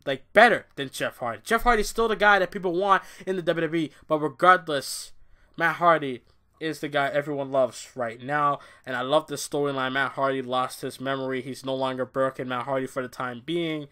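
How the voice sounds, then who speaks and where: A man's voice: medium pitch, fairly even intonation, normal speed, sharp tone. A man giving a blunt, profane rant while reviewing a wrestling show, swearing freely and sounding exasperated. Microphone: off-camera voice-only recording.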